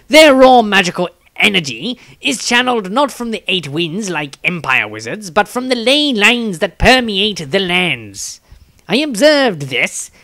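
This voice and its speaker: A man's voice: sits high, bright, forceful tone, dry recording, pitched above the performer's own voice, exaggerated voice. A man voicing a conceited male scholar of magic, pompously reading from his storybook diary.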